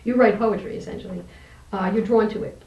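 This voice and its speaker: A woman's voice: mid-range voice, fairly even intonation, brisk, lo-fi sound. A woman who is an interview guest, a poet and teacher, talking and reading her poetry aloud. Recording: roomy echo, distant microphone.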